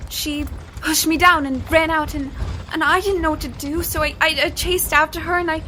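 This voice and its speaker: A woman's voice: high-pitched, fairly even intonation, moderate pace, sharp tone, slight background noise. A woman playing an earnest, anxious young heroine in a first-person audio drama, with a dramatic delivery. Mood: panicked, pleading. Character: anxious young woman heroine, resourceful, narrating her own mystery.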